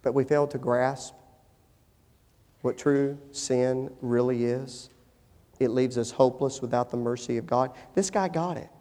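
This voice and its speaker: A middle-aged male pastor preaching a sermon, shifting from hushed, slow stretches to emphatic, rising appeals. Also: medium pitch, steady tone. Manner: dynamic, swings from hushed to emphatic. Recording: long hall reverb.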